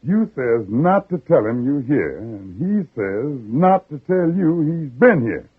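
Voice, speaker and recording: A man's voice: fairly high pitch, sing-song, unhurried, slightly rough, heavily acted. A man playing a folksy, slyly affable backwoods uncle with a drawling Southern accent in an old-time radio drama. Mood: puzzled, sly. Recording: narrow, muffled old radio recording.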